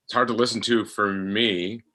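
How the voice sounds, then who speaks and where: Low, bright-voiced man, halting and earnest. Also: steady tone. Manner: halting, earnest, repeats himself for emphasis. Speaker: voice actor guest. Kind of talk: reflective casual interview.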